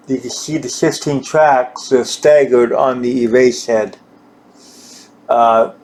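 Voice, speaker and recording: A man giving an informal hands-on equipment demonstration, halting and repeating himself as he searches for words. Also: mid-range voice, darkish tone. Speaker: gear demonstrator.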